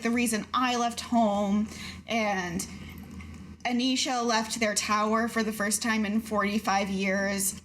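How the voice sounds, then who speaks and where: Higher-pitched woman playing tabletop games. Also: a bit bright, slight background noise. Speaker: tabletop game player. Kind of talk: casual in-character talk in a tabletop game.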